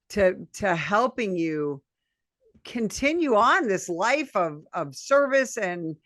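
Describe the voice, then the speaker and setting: Low-voiced woman podcast host, dry sound. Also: a bit bright, no echo. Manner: measured, deliberate questioning with fillers. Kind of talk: interview questions.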